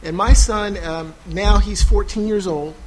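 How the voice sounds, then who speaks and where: A man speaking on a panel about his son's schooling, halting with many fillers and restarts. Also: high-pitched, faint music behind, low-quality recording. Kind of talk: personal testimony at a panel. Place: small intimate room. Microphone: no PA, recorded for a podcast.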